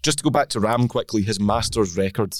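Slightly croaky man reading golf stats. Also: fairly low pitch, no echo, studio quality. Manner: measured, reels off stats. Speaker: podcast co-host. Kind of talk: casual golf chat.

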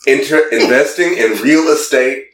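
A man's voice: medium pitch, moderate pace, a bit bright. A man casually reading text messages aloud for comedy, stumbling a little through broken English in an amused way. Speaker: podcast host. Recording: roomy echo, distant from the mic.

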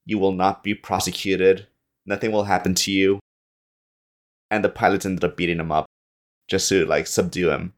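Low-voiced man, casual storyteller. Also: flat delivery, normal speed, long pauses. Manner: informal, acts out quoted dialogue. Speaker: podcast guest.

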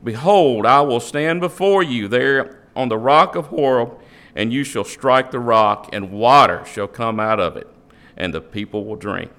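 A man reads a long passage of scripture aloud to a congregation. His delivery is steady and measured, and his pitch sits in the middle range. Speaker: scripture reader. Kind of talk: reading scripture aloud in church. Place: church.

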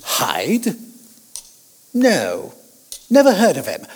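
A crusty old Victorian gentleman doctor in an audio drama. The voice is husky and rather high, swinging wildly up and down with pompous bluster. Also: wildly swooping pitch, extremely slow, in a large hall, exaggerated voice. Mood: curious, dismissive. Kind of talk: period audio drama acting.

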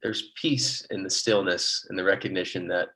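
A man playing a morose, apologetic dad type in improv comedy, halting and earnest. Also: low-pitched, crowd noise, close to an everyday voice, poor audio. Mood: apologetic, bleak. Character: morose, nihilistic, apologetic dad and beer-drinking park guy.

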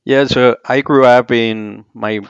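A man from Colombia with a Colombian accent, speaking English as a second language in a casual interview, halting with many restarts. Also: medium pitch, fairly even intonation, gravelly, smooth, no echo, dull audio. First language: Spanish. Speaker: podcast guest.

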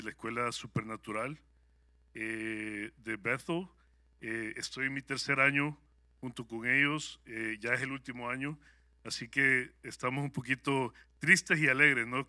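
A man's voice: medium pitch, sharp tone, no echo, clean studio sound. A man from El Salvador with a Salvadoran Spanish accent, sharing a personal testimony with a congregation in a conversational, upbeat way, with many hesitant fillers. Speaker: guest speaker at a church service.

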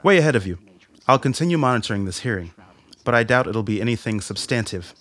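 An actor playing a composed, efficient male army major in a radio play, speaking in a measured, matter-of-fact way. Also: mid-range voice, lively intonation, fairly bright tone. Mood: measured, confident.

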